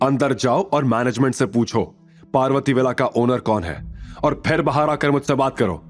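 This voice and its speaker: A man's voice: medium pitch, normal speed, soft background music, close to an everyday voice. A man playing a stern young hero in an audio drama, firm and authoritative, holding back his anger as he challenges others. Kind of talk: Hindi audio drama acting.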